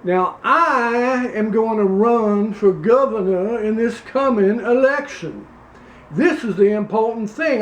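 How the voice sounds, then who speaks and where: Man doing a slow, high-voiced, folksy Louisiana blowhard politician, echoey room. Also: heavily acted. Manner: pompous, folksy, rambling. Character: blustering male Louisiana politician running for governor. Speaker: comedy performer. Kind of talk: improvised comedy sketch. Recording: roomy echo.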